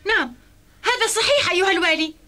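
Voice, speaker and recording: Young boy adventurer, earnest, clever, very high voice, cartoon dub. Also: animated, quick pace, full character voice. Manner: earnest, eager. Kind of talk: dubbed cartoon acting. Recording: loud music under the voice.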